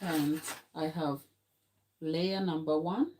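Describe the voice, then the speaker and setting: Casting: middle-aged Ghanaian-accented woman, non-native speaker, slightly low voice, informal live-stream instructor talking viewers through each step. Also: fairly even intonation, slow pace, warm tone, slight background noise. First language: not English. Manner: explanatory, chatty, addressing viewers. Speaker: cake decorating instructor. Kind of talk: casual live-stream tutorial. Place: home kitchen, indoors.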